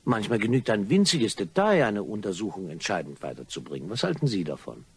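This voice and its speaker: A man acting in a German crime radio play as a sly gentleman blackmailer, husky, probing and mock-courteous. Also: fairly low pitch, sing-song, fairly quick, full character voice. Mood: smoothly insinuating. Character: sly, insinuating gentleman blackmailer, smoothly polite.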